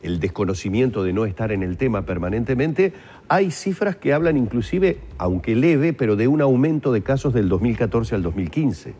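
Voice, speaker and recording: A man's voice: medium pitch, lively intonation, normal speed, dark tone, slight background noise. A man interviewing guests informally on a broadcast.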